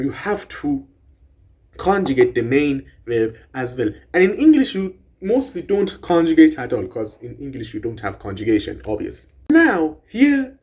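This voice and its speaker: Excited young man explaining grammar. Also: a little high. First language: Persian. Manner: excited, swears, rambles with restarts. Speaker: language vlogger. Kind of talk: casual explainer talk.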